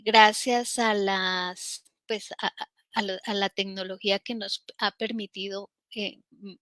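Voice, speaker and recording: A woman professor giving a formal talk on a symposium panel, slow and measured, with many fillers and restarts. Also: medium pitch, bright, forceful tone, no room sound.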